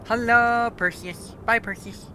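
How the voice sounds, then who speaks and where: A woman's voice: on the high side, fairly even intonation, measured pace, sharp tone, a little hiss or hum. A woman streaming a game, chatting casually and rambling, correcting herself as she goes, her voice starting to go. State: losing her voice. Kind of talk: casual live gameplay chat.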